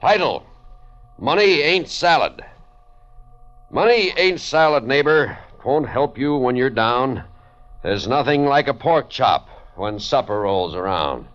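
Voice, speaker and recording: A man's voice: mid-range voice, expressive, slow, fairly bright tone. A man playing a brusque, self-important forty-year-old businessman poet in an old-time radio detective drama, speaking in clipped, pompous phrases. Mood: pompous declaiming. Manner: clipped telegraphic speech, pompous. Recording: muffled vintage radio recording.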